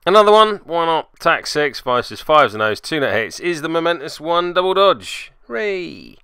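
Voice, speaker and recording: Male game narrator, wry and self-mocking, clear forceful delivery, dry close-miked sound. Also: fairly high pitch, big pitch swings, normal speed, dry recording. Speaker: host narrating a tabletop game battle report. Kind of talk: casual recorded commentary.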